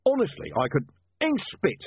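A man's voice: high voice, wildly swooping pitch, moderate pace, husky, dry recording, pitched above the performer's own voice, full character voice. A man voicing a blunt, grumbling, sweary criminal thug who fancies himself an antiques connoisseur in an audiobook. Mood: disgusted, grumbling. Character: gruff cursing male thug with connoisseur's taste. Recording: muffled narrow-band, phone-like radio sound.

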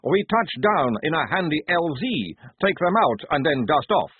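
A wry, laconic corporal, voiced by a man talks in clipped military jargon. The pitch rises above the reader's own and the sound is thin and dry. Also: big pitch swings, moderate pace, husky, dry recording, full character voice. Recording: tinny phone-like dry recording.

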